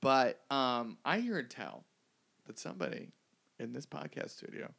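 Playful male podcast host, very animated pitch. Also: moderate pace, creaky. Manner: joking, playful banter. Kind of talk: casual comedic chat.

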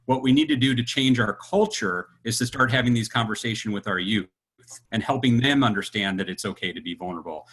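Husky man in his fifties, sincere. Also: on the low side, fairly bright tone, poor audio. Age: 50s. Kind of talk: reflective conversation.

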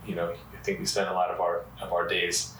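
A man's voice: sits low, completely flat delivery, a little fast, a bit bright. A man guesting on a podcast, casual and chatty, with a nasal, froggy voice and unfinished sentences. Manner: talks in unfinished sentences with many fillers. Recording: roomy, distant mic, faint noise.

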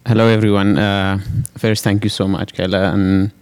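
A man in his thirties from Iraq, telling his personal story haltingly at a live panel event. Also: fairly low pitch, level tone, smooth. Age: 30s. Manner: halting, with frequent restarts and fillers. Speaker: panel speaker.

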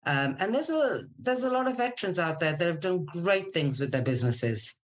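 Low-pitched chatty middle-aged woman. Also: very animated, normal speed. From Scotland. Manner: chatty, candid, informal. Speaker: podcast guest, military spouse and business owner. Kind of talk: casual interview chat with personal stories.

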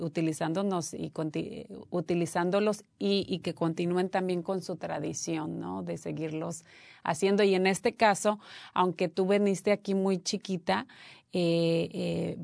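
Woman interviewer, enthusiastic, restarts a lot, lowish voice, dry sound. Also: gravelly, no room sound. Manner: warmly enthusiastic, hesitant restarts. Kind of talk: casual interview.

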